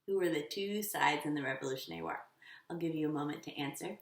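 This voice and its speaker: A woman teaching children, instructive and encouraging, posing questions and pausing for answers. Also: low voice. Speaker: elementary school teacher. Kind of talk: children's lesson with questions and pauses. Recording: roomy echo, slightly distant mic.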